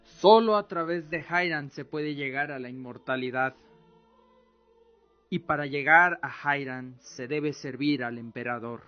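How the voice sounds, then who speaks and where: Male narrator, scripted fantasy audio drama, measured solemn storytelling, slightly muffled lo-fi recording, soft music underneath. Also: mid-range voice. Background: music bed.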